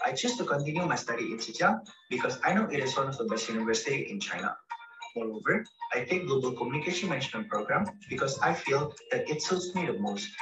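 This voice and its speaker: A man's voice: mid-range voice, reverberant, recorded from a distance, rough recording. An eighteen-year-old man from Indonesia giving a scripted video message as a student, recorded indoors. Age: teens.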